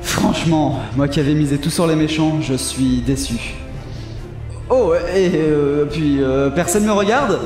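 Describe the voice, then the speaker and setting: Casting: a jokey, self-mocking male narrator with a high voice, recorded in an echoey room. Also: unhurried, a little mellow, faint music behind.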